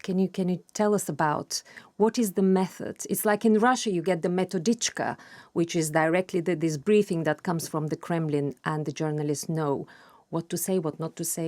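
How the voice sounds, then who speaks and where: A woman's voice: deep voice, dark tone. A woman hosting a broadcast interview, asking questions conversationally with some fillers. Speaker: interview host.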